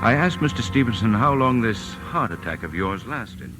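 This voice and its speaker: An actor playing a measured, formal physician in old-time radio drama style, speaking deliberately. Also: male voice, lowish voice, lively intonation, close to an everyday voice. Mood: formal, grave. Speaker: doctor. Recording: old radio recording with music behind. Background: music.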